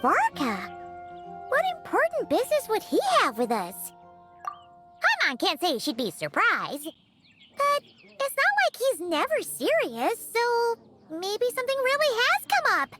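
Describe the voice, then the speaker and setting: Tiny cheeky fairy sidekick, extremely high squeaky voice, wildly swooping, cutesy game delivery, music behind. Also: female voice, wildly swooping pitch, measured pace, full character voice. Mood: mildly surprised. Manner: cutesy, exaggerated, refers to self in third person. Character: tiny excitable female fairy companion, childlike and cheeky. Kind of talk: video game voice acting.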